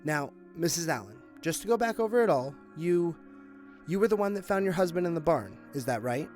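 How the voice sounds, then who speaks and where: Actor as a cynical, higher-voiced male detective. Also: lively intonation, fairly quick, darkish tone, soft background music, lightly acted. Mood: businesslike. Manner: sardonic, matter-of-fact. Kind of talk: audio drama acting.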